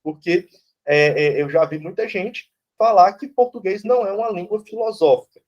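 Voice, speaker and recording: A man's voice: sits high, moderate pace, darkish tone, dull audio. A Brazilian man, a philosophy professor, lecturing informally and bluntly, often checking in with "né?" and "tá certo?". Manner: conversational, tags lines with "né?" and "tá certo?"; blunt, casually swears.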